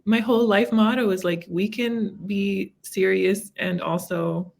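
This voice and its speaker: A woman's voice: mid-range voice, steady tone, measured pace, creaky, a little mellow. A woman from Los Angeles, a therapist guesting on a podcast, chatting casually in a bubbly, self-mocking way. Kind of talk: casual chat.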